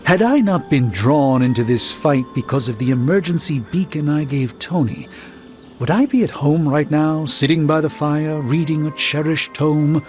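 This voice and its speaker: Game sorcerer: learned, composed, dry wit, higher-set male voice, phone-like sound over music. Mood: wistful. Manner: formal, eloquent, measured. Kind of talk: scripted video game dialogue.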